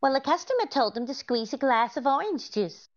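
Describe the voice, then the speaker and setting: High-pitched scatterbrained radio wife, played by a woman. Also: very animated, a little fast, highs cut off. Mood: innocent, puzzled. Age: middle-aged. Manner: innocent, earnest. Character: sweet, scatterbrained, literal-minded comedian wife. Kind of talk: 1940s radio comedy acting.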